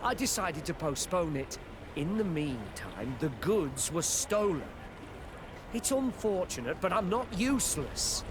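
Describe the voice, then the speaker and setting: Game role: evasive, anxious customs officer, very animated pitch, hesitant and servile, clear ambient noise behind. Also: male voice, a little slow. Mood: flustered, defensive. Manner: hesitant, stammering, deferential. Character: evasive, nervous, beleaguered male customs officer. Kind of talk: video game cutscene acting. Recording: noisy outdoor ambience behind the voice.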